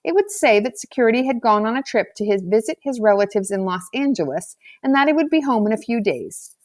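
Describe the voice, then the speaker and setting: A woman's voice: a little high, expressive, brisk, darkish tone, no room sound, clean studio sound. A woman reading a children's novel aloud as scripted narration. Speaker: audiobook reader.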